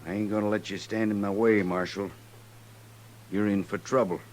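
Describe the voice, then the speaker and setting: Man acting a low-voiced, hard-nosed cattle baron. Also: level tone, moderate pace, a little hiss or hum, natural voice. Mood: smug, unyielding. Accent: American. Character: hard, self-satisfied, sharp-dealing cattle baron. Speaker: radio drama actor. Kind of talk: old-time radio drama acting.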